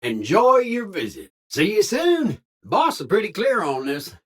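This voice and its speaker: A hearty cowboy robot for a video game, with a husky edge to his Western American drawl. His pitch leaps and dips dramatically as he plays it folksy, eager and a little sly. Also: wildly swooping pitch, unhurried, full character voice. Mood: chipper, folksy.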